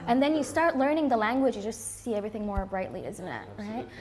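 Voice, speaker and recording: A woman whose first language is Arabic, hosting a talk show with enthusiastic, animated energy. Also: on the high side, fast, a crowd in the background, poor audio. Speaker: host.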